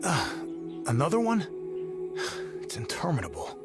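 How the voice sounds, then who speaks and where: A man's voice: on the high side, very animated, measured pace. A man voicing an earnest, dutiful and somewhat weary guard in a video game, with a hesitant manner. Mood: weary, exasperated. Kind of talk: video game character dialogue. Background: faint music.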